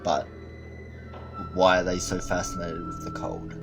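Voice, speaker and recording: Man acting a deep-voiced, lively young sidekick. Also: measured pace, over music, full character voice. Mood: flippant, curious. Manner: informal, jokey, excitable. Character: flippant, loyal young man, time traveller's companion. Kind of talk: amateur sci-fi audio drama acting.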